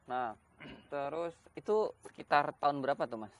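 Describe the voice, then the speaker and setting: A man's voice: on the high side, normal speed, a bit bright. A man hosting a casual interview, chatting informally and bantering with his guest. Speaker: host of a chat interview show.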